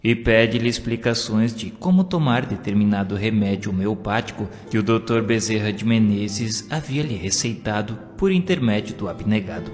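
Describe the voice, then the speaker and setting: A Brazilian man narrates in a low voice with solemn, declamatory expression. His scripted devotional reading rings with long reverb, and plucked guitar music plays softly behind it.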